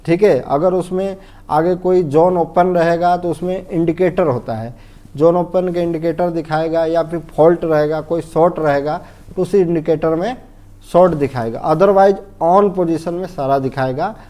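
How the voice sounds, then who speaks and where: An Indian man with a mellow, soft-edged voice pitched a little high teaches a technical lesson. He explains step by step in an informal, didactic way, repeats key phrases and keeps checking that the listener follows. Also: normal speed. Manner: didactic, repetitive, often checks understanding and asks himself questions. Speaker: fire safety instructor.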